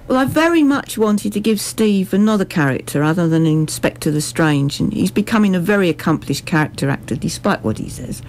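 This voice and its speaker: A woman's voice: on the low side, expressive, fairly quick, hardly any pauses, a little mellow, slight background noise, low-quality recording. A woman giving articulate, explanatory answers as a radio interview guest. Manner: articulate, full sentences.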